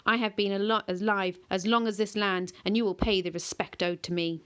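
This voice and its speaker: A woman voicing an ancient, gigantic yew-tree monster that speaks slowly and gravely, with quiet command. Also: medium pitch, steady tone, lightly acted.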